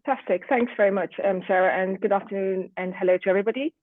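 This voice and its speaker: A woman's voice: medium pitch, fast, no echo. Woman chairing an online panel, formal moderator talk, thin phone-like sound, dry no room. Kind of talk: formal event moderation, addressing an online audience. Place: online video meeting. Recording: muffled, lo-fi online call audio.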